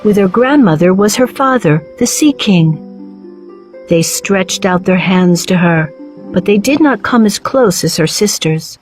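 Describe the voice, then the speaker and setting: A woman reading a children's storybook as narrator, with measured, clearly phrased delivery. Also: mid-range voice, lively intonation, a little mellow, soft background music.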